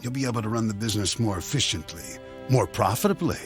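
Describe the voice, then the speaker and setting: An actor playing a smooth, scheming husband who is glib, coaxing and sardonic, in a radio drama. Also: male voice, lowish voice, fast talker, soft background music, close to an everyday voice. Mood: coaxing, insistent.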